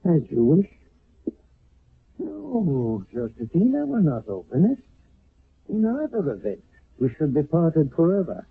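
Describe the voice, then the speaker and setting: An actor playing a formal, jealous husband in a 1970s radio drama, speaking in a stiff and measured way. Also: male voice, on the high side, wildly swooping pitch, vocal fry, breathy edge, no room sound, heavily acted. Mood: cold, suspicious. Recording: tinny lo-fi old radio broadcast.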